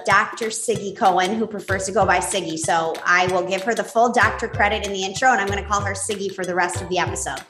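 Bubbly woman podcast host, roomy sound. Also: medium pitch. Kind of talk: casual interview.

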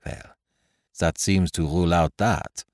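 A man's voice: deep voice, steady tone, takes it slowly, darkish tone, no echo, clean studio sound. A man voicing a courteous, precise middle-aged detective.

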